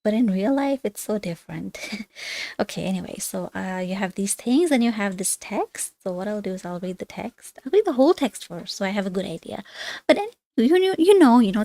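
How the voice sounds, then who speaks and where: A woman studying German on a livestream, reading workbook exercises aloud and thinking out loud in as she checks her answers. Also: mid-range voice, dry recording. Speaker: language learner studying on a livestream.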